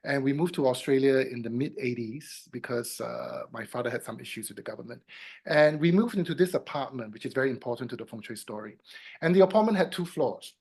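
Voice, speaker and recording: A man in his fifties who grew up in Singapore, a guest in an interview, telling a long personal story. His voice often rises into louder bursts, then drops soft. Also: medium pitch, a little fast, gravelly, husky. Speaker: guest, feng shui consultant.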